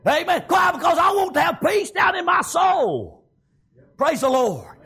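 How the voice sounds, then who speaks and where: Shouting high-pitched Southern American male preacher. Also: sing-song, unhurried. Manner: exclamatory, peppered with repeated praise interjections; folksy, colloquial. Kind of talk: fervent revivalist sermon.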